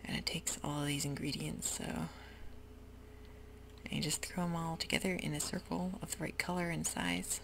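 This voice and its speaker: A woman whispering softly through a relaxed, rambling ASMR game commentary. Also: low-pitched, normal speed, creaky, slight background noise. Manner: soft whisper, rambling and easygoing. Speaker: YouTuber playing a game. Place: home room with sprinklers outside.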